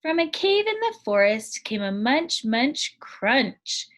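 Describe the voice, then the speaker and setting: A woman with a high, light voice reads a children's picture book aloud, taking her time. She sounds like a teacher at storytime, clear and careful with each line. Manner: slow, clear storytime reading.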